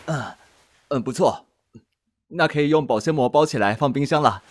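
A man's voice: a little high, expressive, takes it slowly, no echo. A man with a Taiwanese Mandarin accent playing a stubborn, prickly, easily flustered middle-aged man in an audio drama. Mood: gentle instructing. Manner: tsundere, scolding, flustered.

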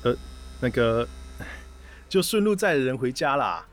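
A man's voice: medium pitch, very animated, unhurried. An actor playing a placating, evasive boyfriend in his twenties in a radio drama, coaxing in a Taiwanese accent. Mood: sheepish, defensive. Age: 20s. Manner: coaxing, excuse-making.

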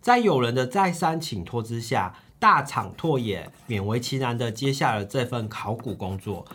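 Man, podcast host, scripted storytelling, animated pitch, husky tone, drawn-out phrase endings. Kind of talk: scripted narration.

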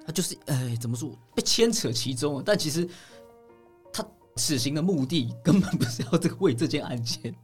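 A man co-hosting a podcast, casually discussing film in a halting, reflective way and rephrasing as he goes. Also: a little high, lively intonation. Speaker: podcast co-host. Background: background music.

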